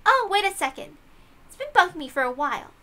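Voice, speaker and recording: A smug girl sleuth acted by a woman talks in a high, lively voice. She sounds arch and knowing, like a visual novel schemer pleased with herself. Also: brisk, exaggerated voice. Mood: curious, scheming.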